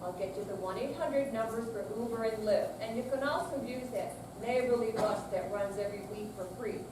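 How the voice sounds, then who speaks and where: A woman's voice: a little high, brisk, close to an everyday voice. A woman playing a worried, firm grown daughter of elderly parents in a staged reading. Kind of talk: staged readers theater reading. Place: senior center stage reading, far from mic. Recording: echoey noisy hall, distant mic.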